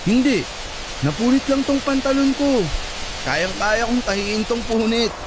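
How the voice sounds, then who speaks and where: High-voiced, playful teasing boyfriend. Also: male voice, unhurried, noisy background. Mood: apologetic, coaxing. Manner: teasing, coaxing. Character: playful, teasing young man, affectionate son and boyfriend. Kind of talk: Tagalog radio drama acting.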